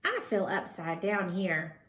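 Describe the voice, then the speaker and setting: A woman's voice: on the low side, big pitch swings, slow, a bit bright, echoey room, exaggerated voice. A woman voicing a small, friendly, easily frightened young bird in a storybook read-aloud. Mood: agreeable.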